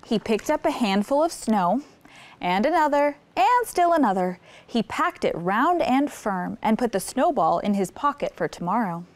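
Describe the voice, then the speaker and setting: A woman's voice: on the high side, big pitch swings, moderate pace, no echo. A woman hosting story time, reading a picture book aloud expressively and putting simple questions to young listeners. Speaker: children's story time host.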